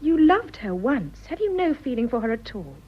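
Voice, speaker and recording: An actress playing an earnest, spirited young English gentlewoman, frank and reproachful, in a radio drama. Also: a little high, big pitch swings, moderate pace, slightly rough. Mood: pained. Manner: reproachful, direct. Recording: muffled radio recording.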